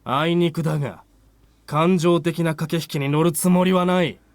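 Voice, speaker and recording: An actor plays a terse young fighter from a visual novel. The delivery is rapid, curt and dramatic, with a defiant edge and a medium pitch. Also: fairly even intonation, no room sound, lightly acted. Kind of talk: Japanese game voice acting.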